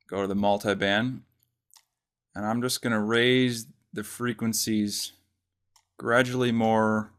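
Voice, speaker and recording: A man presenting a casual software tutorial, explaining step by step in an informal way. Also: lowish voice.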